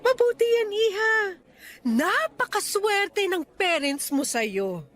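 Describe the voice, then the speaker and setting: A woman playing a doting Filipino mother in a radio drama, chatty and gushing with admiration as she gently compares her child. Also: very high voice, sing-song, takes it slowly, full character voice.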